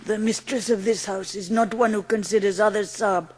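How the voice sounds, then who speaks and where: A woman voicing a gentle, watchful Indian manservant with formal, reverent phrasing in a dramatised audiobook. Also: medium pitch, fairly even intonation, moderate pace, breathy edge, in a large hall, natural voice. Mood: quiet, assured.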